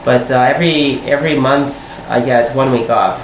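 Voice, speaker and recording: An American man in his thirties, a guest chatting casually and warmly, often laughing and rambling as he talks. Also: medium pitch, normal speed. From United States. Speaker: guest, airline pilot. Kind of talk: casual conversation. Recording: echoey room with steady background noise.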